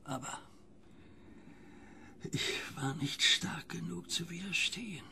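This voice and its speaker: A frightened old neighbour weighed down by guilt in an audio drama. His speech is very slow and halting, with a gravelly creak and a tendency to sink toward a whisper. Clear ambient noise lies behind him. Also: on the high side, sharp tone, heavily acted. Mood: grave, regretful. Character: old, fearful, guilt-ridden male neighbour confessing dread. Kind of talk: German radio drama acting. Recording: noisy ambient background.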